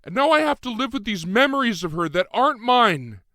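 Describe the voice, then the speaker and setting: Audiobook role, male reader: grief-stricken young man, pitched up, emotional outbursts. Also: very animated, brisk, sharp tone, no echo, studio quality, full character voice. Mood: anguished, shouting. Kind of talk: dramatized audiobook dialogue.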